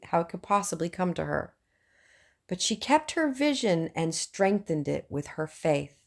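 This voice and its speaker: Female audiobook narrator, slightly low voice, reading scripted prose. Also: creaky, a little mellow. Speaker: audiobook reader.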